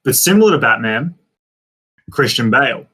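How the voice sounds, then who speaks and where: Man, mid-pitched voice, enthusiastic, emphatic, casual podcast co-host. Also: lively intonation, normal speed, sharp tone, slightly rough. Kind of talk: casual group chat about films.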